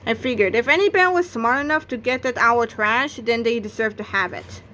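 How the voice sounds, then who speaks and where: Female narrator for a children's comic novel read-aloud, voice a little high, recorded with some background noise. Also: moderate pace, pushed and bright. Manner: acts out sound effects and exclamations. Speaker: book narrator.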